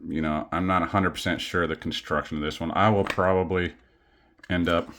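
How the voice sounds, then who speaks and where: A man casually showing his collection on camera at home, rambling and self-deprecating with many pauses and fillers. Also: deep voice, fairly even intonation, fairly bright tone. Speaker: coin collecting vlogger. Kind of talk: casual show-and-tell. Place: home studio.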